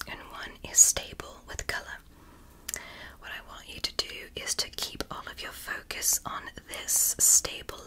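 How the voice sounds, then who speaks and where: A woman voicing a calm, clinical female alien doctor in a whispered ASMR roleplay. She speaks softly and reassuringly, with precise, measured instructions. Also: rough recording.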